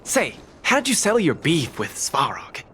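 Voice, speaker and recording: A man's voice: on the high side, wildly swooping pitch, moderate pace. A young man playing a cocky, upbeat champion fighter in a video game, with a boastful, theatrical, eager delivery. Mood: eager, confident. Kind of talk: animated video game voice acting.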